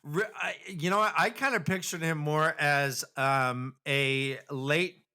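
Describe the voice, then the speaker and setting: A man co-hosting a casual, comedic podcast, retelling a story in an animated, jokey and halting way. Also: a little high, clean tone. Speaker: podcast co-host.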